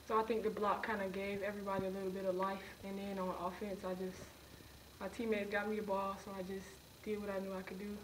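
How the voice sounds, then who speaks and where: A young woman college player answers in a flat, even voice, shy and hesitant with plenty of "um"s. She sounds set back from the mic, with clear room noise around her. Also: mid-range voice, fast, recorded from a distance, rough recording. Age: young adult.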